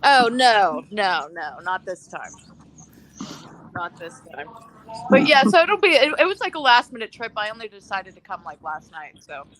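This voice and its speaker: A woman chatting casually on a live stream from an airport gate, animated and quick to laugh. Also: mid-range voice, poor audio. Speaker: guest.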